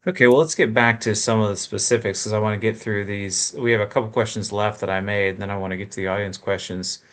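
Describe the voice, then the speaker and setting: A man's voice: lowish voice, fairly even intonation, quick pace. A man hosting a live panel discussion, directly steering it on to the next questions. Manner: direct, moves things along. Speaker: host.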